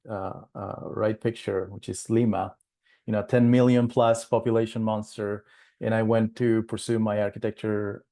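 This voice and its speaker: A man's voice: medium pitch, dark tone. A man from Peru presenting a planning webinar, speaking with many fillers and restarts.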